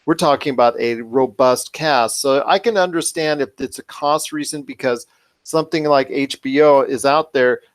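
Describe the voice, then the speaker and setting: Male podcast host, average pitch, talky and emphatic, piling up points in an unscripted discussion. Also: moderate pace. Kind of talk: conversational commentary.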